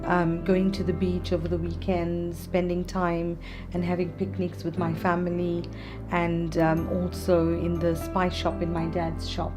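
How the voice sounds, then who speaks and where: A woman born in South Africa, a psychiatrist answering interview questions in earnest, measured explanations. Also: lowish voice, monotone, music in the background. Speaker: psychiatrist guest.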